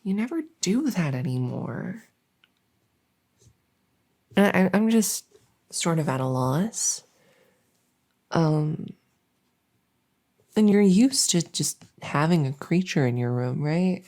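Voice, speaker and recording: A woman plays a female monster who lives under the bed, harmless, needy and exasperated. Her voice is low, soft and mellow, often dropping to a whisper. She rambles slowly and stammers as she pleads with the listener, in a silly ASMR roleplay. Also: expressive, exaggerated voice. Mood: wistful, hurt.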